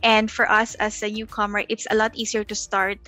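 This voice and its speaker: A woman's voice: mid-range voice, completely flat delivery, fairly quick, sharp tone, faint music behind. A woman from the Philippines, a YouTube channel founder, chatting casually in an interview over a video call, laughing often. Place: home in Ottawa.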